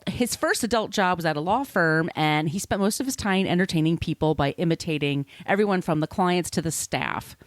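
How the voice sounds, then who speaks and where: A woman's voice: lowish voice, a little fast, few pauses, no room sound. A woman hosting a podcast, reading scripted narration with casual, irreverent asides. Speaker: podcast host.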